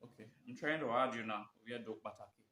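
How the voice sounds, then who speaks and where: A man talks off the cuff on a live stream, picking his way through fillers and false starts. His voice comes through bright and forward. The room around him rings with echo. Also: medium pitch, fast. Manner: rambling and hesitant, many fillers and restarts. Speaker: host of a live video show on history. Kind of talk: unscripted live-stream talk. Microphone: phone or webcam during a live stream. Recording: echoey room.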